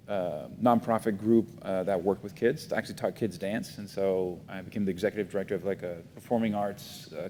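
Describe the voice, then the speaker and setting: Man, middle-aged, from Miami, low voice, reflective, many fillers, echoey room. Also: warm tone. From Miami, United States. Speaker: guest, foundation CEO. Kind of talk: casual interview talk. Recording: roomy reverb, faint rumble.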